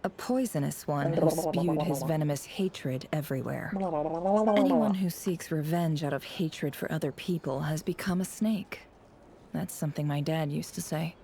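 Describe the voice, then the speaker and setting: A woman playing a cool, cynical, guarded spymaster in a video game, speaking in a measured, wry way. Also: low-pitched, vocal fry, warm tone. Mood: cold, wry. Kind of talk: video game character dialogue.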